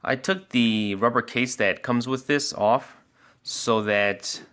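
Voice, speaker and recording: A man with a medium-pitched voice presents a product walkthrough. He explains things step by step in an informal way, like a sales expert showing a customer how a device works. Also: slow, a bit bright, clean tone. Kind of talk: casual product review and walkthrough.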